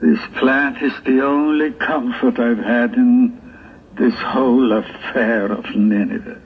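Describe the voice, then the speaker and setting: Aggrieved biblical prophet, played by a man, very drawn-out and theatrical, full of groans; tinny, hissy 1960s audio drama recording. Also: medium pitch, natural voice. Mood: relieved, grateful. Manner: theatrical, drawn-out, sighing and moaning monologue. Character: aggrieved, self-pitying Hebrew prophet, suffering in the heat.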